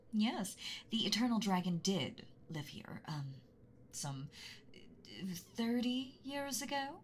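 A woman voicing a gentle, motherly giant female dragon in a whispered roleplay, speaking in a soft, breathy, doting way. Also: medium pitch, slight background noise. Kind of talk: whispered roleplay audio, ASMR.

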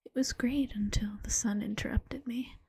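A woman voicing an affectionate, teasing bunny-girl girlfriend in a slow, coaxing ASMR whisper. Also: a little high, steady tone, vocal fry, a little mellow, slight background noise. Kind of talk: ASMR roleplay.

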